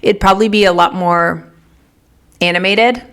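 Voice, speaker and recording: A middle-aged woman from Kansas City co-hosting a podcast, chatting casually with quick, laughing asides. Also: mid-range voice, fairly even intonation, a bit bright. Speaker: podcast co-host. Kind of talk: casual chat.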